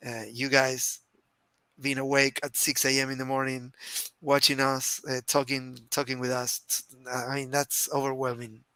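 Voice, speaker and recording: A man's voice: mid-range voice, completely flat delivery, moderate pace, pushed and bright, clean tone, no echo, poor audio. A man in his forties from Argentina, with an Argentine Spanish accent, co-hosting a casual live stream over a video call headset and speaking in a heartfelt, rambling way. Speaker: co-host. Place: small home room.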